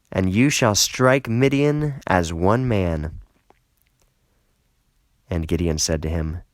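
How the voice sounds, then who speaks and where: A man reading scripture aloud as an audiobook narrator, measured and solemn, lifting into emphasis for quoted speech. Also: on the low side, no echo. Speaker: audiobook reader.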